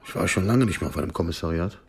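A man's voice: sits low, very fast, husky. An actor playing a proud, sly crime-clan man in a radio drama, provocative and self-assured. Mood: insolent, mocking. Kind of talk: naturalistic German radio drama acting.

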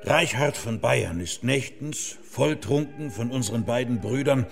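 An actor playing a shrewd, earthy monk investigator in a radio play, probing with a gravelly voice. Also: male voice, medium pitch, animated, measured pace, soft background music. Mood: deliberating.

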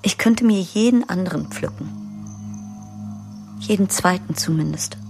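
A jilted lover, husky and low. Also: female voice, a little slow, a little mellow. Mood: hurt. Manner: intimate, half-whispered and bitter. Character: jilted woman waiting for her lover. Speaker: actor in a radio play. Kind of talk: radio drama monologue. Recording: studio radio play with music.

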